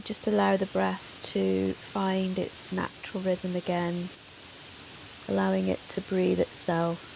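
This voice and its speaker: A woman yoga teacher leading a guided meditation in a soothing, measured, gentle manner with long pauses. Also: medium pitch, completely flat delivery, creaky. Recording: soft hiss of rain noise. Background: soft rain sound.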